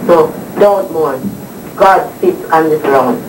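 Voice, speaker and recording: A woman's voice: sits low, slow pace, distant mic. A woman with a Nottingham accent speaks earnestly and fervently about her faith. She sounds set back from the microphone in a roomy, echoing space. A noisy hiss sits under the old archival video recording. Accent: English, Nottingham. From England. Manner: earnest, fervent religious testimony. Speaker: interviewee, church member. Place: indoors in a residential compound.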